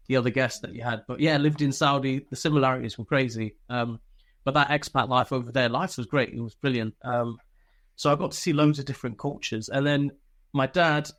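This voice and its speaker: A man telling personal stories casually as an interview guest, chatty and informal, swearing freely and often chuckling. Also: mid-range voice. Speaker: interview guest, former soldier.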